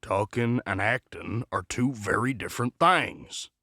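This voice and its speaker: A man's voice: on the low side, a little slow, creaky, bright, forceful tone, no echo, studio quality, full character voice. A man playing a cautious, protective gunslinger in a western audio drama, with a folksy, advising manner. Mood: steady, cautioning.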